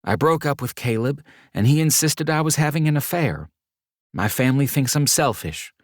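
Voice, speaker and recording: A man voicing a soft, emotional young woman. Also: mid-range voice, expressive, moderate pace, no echo, studio quality, pitched above the performer's own voice, close to an everyday voice. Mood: upset.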